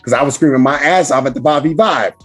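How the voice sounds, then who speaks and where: A man hosting a casual podcast chat with a hoarse, raspy voice from screaming, talking loudly and emphatically with lots of swearing. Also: medium pitch, quick pace, soft background music. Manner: loud, profane, emphatic. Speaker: podcast host.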